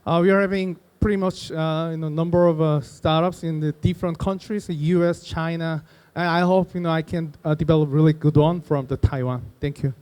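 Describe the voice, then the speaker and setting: Halting man with smooth voice. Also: on the high side, steady tone, darkish tone. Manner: halting, heavy "uh" and "you know" fillers, non-native phrasing. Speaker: corporate investor presenting. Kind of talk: conference pitch presentation. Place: conference stage.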